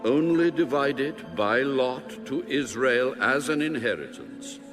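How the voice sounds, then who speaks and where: An actor playing God in a dramatic audio Bible, speaking in a solemn, stately, commanding manner. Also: male voice, medium pitch, very animated, measured pace. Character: God, male, solemn, commanding, ancient authority. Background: music.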